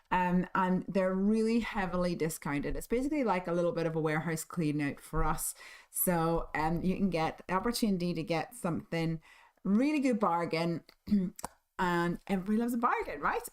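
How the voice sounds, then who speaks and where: A hoarse woman hosts a live crafting stream, her voice husky and half gone. She chats in a friendly, rambling way, full of ums and small laughs, at a middling pitch. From United Kingdom. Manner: chatty, friendly, many ums and little laughs. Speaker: craft stream host and shop owner.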